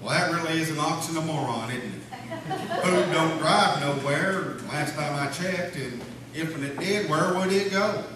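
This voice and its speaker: A man's voice: a little high, measured pace, close to an everyday voice. A man playing a pompous, forgetful, dismissive lodge leader who runs a meeting in a folksy, commanding way, in a comedy radio sketch. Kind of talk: live comedy radio-show sketch acting. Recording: echoey hall, distant mic, noisy.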